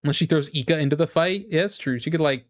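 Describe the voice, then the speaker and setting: A man with a somewhat high-pitched voice talks like a streamer chatting with viewers. He is informal and enthusiastic, thinking aloud and restarting his sentences as he goes. The sound is very dry, as if spoken close into a microphone. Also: fast talker, no echo. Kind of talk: casual rambling gaming chat.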